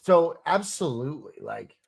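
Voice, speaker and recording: A man's voice: on the high side, very animated, normal speed, creaky, husky. A man guesting on a casual sports podcast, bantering easily and often repeating himself. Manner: easygoing banter with repeated words and restarts.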